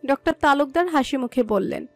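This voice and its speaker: Female storyteller, scripted romantic-drama narration, high voice, dry of nothing but faint echo-free music bed. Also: expressive, fairly quick, vocal fry.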